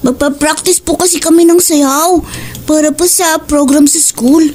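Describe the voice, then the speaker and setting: Polite, devoted young son for horror audio drama, played by a woman; high, youthful schoolboy voice. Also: fairly even intonation, a little slow, darkish tone. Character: dutiful, polite schoolchild devoted to mother, a boy.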